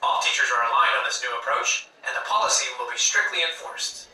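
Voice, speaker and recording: An actor playing a stern middle-aged school principal making formal, authoritative announcements over a PA in an audio drama. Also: male voice, medium pitch, fast talker, pushed and bright. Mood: stern, official. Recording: echoey, distant school PA speaker.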